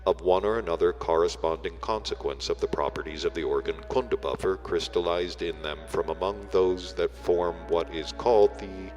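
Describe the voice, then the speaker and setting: A man reading a book aloud as solemn, scripted narration, in measured, deliberate phrases with weighty breaks. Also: deep voice, darkish tone. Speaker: audiobook reader. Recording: long reverb, music behind the voice. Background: music.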